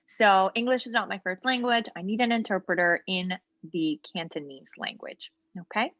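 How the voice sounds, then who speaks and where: A woman's voice: mid-range voice, moderate pace, a bit bright, no room sound. Patient woman trainer on a dull video call. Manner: patient, explanatory, with frequent ums and asides. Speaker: legal aid trainer. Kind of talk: walkthrough of online software in a role-play interview.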